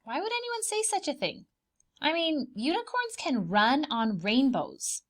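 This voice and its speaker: A woman reading a picture book aloud for preschoolers, expressive and dramatic, pausing to put questions to the children. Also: sits high. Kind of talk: animated children's storybook read-aloud with asides.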